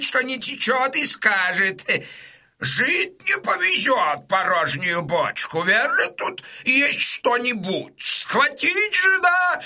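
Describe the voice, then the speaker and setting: A wily, pleading innkeeper in an audio drama, with a high and piercingly bright male voice. He speaks slowly, swinging widely in pitch, with fussy hesitations and wailing, drawn-out words. His temper is anxious and scheming. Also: heavily acted. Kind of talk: full-cast audiobook dramatisation.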